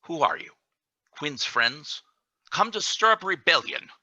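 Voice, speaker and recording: Radio play villain: scheming security chief, acted by a man, very hard bright tone, lively swings, insinuating menace. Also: a little high, a little slow, no room sound, exaggerated voice. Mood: accusing.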